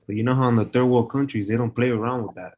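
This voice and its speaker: A man's voice: mid-range voice, fairly even intonation, fast talker, a little mellow, a little hiss or hum. A man chatting casually and eagerly, full of slang like "bro" and "man". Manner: enthusiastic, slangy, calls people bro. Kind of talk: casual chat.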